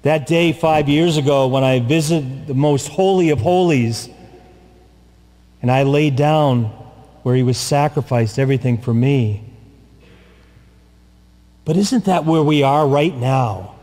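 Older priest preaching real slow and solemn, big church echo. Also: male voice, medium pitch, a little mellow. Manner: slow, solemn and deliberate, with long pauses and repetition. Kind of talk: sermon. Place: large church, from the pulpit. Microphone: far camera, pulpit mic. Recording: long church reverb.

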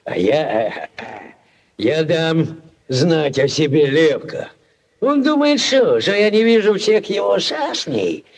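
A man's voice: a little high, big pitch swings, takes it slowly, gravelly, exaggerated voice. An actor playing a pompous, boastful older village father and amorous rogue in 1940s radio drama style. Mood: boastful, threatening.

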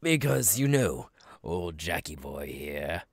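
A menacing, childlike circus clown monster for an ASMR audio roleplay. The voice is husky and creaking, drawn out extremely slowly. It lurches theatrically between breathy whispers and gleeful, cackling bursts. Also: male voice, rumbling low, sing-song, no echo, clean studio sound, full character voice. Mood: playful menace.

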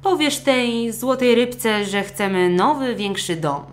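A woman's voice: a little high, takes it slowly, slight background noise, voice pushed up. A woman voicing a greedy, hot-tempered fisherman's wife in a storybook, scolding and demanding emphatically.

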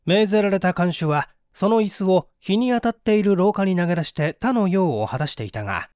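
A male audiobook reader delivers mystery narration with theatrical suspense. His voice sits fairly high and moves widely in pitch. The sound is dry and close. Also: expressive, brisk, darkish tone, no room sound. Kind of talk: dramatic scripted narration of a mystery story.

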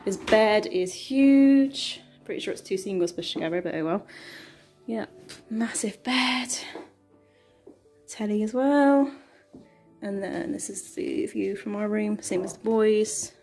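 Slow, chatty young woman touring a flat. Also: medium pitch, soft background music. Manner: relaxed, chatty, offhand. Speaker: vlogger. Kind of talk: casual apartment tour. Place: small apartment, indoors.

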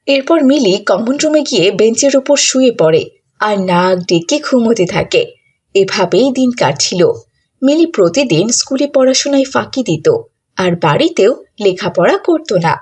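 A woman's voice: fairly high pitch, expressive, a little slow, darkish tone, breathy edge. A woman storyteller reading scripted narration for a children's story.

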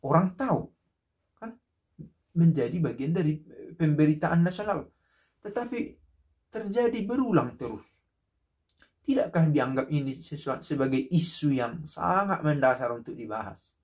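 A man's voice: a little high, lively intonation, normal speed, pauses often, darkish tone. Reflective man musing on camera. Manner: reflective, rhetorical, many fillers and restarts. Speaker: commentator. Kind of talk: casual spoken commentary to camera. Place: small indoor room by a window.

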